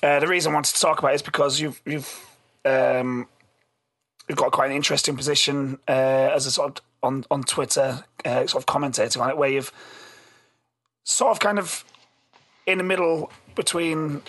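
A man hosting a casual podcast chat in a rambling, halting way, his voice a little lost. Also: medium pitch, steady tone, fairly bright tone. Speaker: podcast host.

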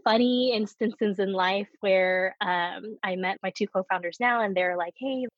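Candid woman with a slightly husky voice. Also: medium pitch, a little slow, no echo, dull audio. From United States. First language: Korean. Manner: candid, laughs often while telling stories. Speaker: startup co-founder guest. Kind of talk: casual interview conversation.

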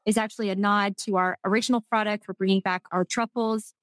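A woman's voice: mid-range voice, steady tone, fast, a bit bright, dry recording, clean studio sound. A woman from Texas with a Texan accent, a guest on an interview podcast, speaking in a thoughtful, earnest way. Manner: thoughtful, earnest, some restarts. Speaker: guest, food manufacturing executive. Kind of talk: casual interview chat.

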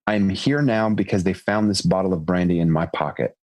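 A man's voice: deep voice, a little fast, darkish tone. A man voicing a guilt-ridden drunken priest in a prison cell, earnest and self-reproaching.

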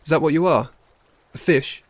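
A man's voice: fairly high pitch, moderate pace, dry recording, voice pushed up, natural voice. A man voicing a proud, serious young male bear, a stubborn older brother speaking earnestly in a storybook. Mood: questioning. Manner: earnest, challenging.

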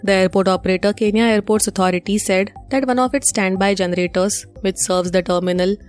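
Indian lady reading the news, scripted presenter style, medium pitch, music going behind her. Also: fairly quick.

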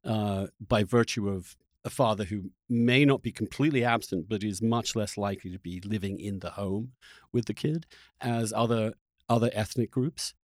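A man's voice: fairly low pitch, normal speed, dry recording, clean studio sound. A man hosting a reflective long-form interview, who feels his way through his thoughts and often restarts phrases mid-sentence. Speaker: podcast host interviewing a guest.

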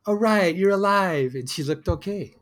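A man's voice: sits high, lively intonation, normal speed, a bit bright, a little hiss or hum. A man hosting a solo storytelling show, speaking in a reflective, conversational and wry way, as in a personal monologue.

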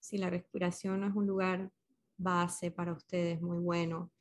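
A woman leading a guided meditation as a facilitator, speaking gently and unhurriedly with pauses between short instructions. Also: on the low side, little pitch movement.

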